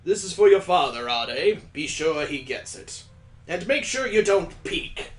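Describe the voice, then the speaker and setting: A man's voice: fairly high pitch, lively intonation, unhurried, roomy sound. A man voicing a lofty woman storyteller. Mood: solemn, lofty. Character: aloof older woman storyteller, lofty and prim.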